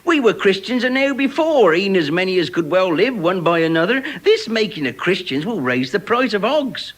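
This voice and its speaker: An actor playing a cheeky, quibbling clown servant in classical Shakespearean style, teasing with mock solemnity. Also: male voice, high-pitched, big pitch swings, normal speed, fairly bright tone, exaggerated voice. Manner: mock-solemn, theatrical wordplay. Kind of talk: classical Shakespearean stage acting.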